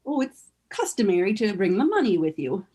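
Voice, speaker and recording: A woman's voice: medium pitch, big pitch swings, brisk, mellow, breathy edge. A woman playing a polite, patient bank teller in a radio play, with a courteous, drily prompting manner.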